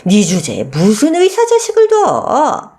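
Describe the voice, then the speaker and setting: A woman voicing a shameless, scornful old mother-in-law who wheedles and pleads with emphatic, drawn-out words in a radio story. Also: high voice, wildly swooping pitch, darkish tone, pitched above the performer's own voice, exaggerated voice. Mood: sneering scorn. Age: older.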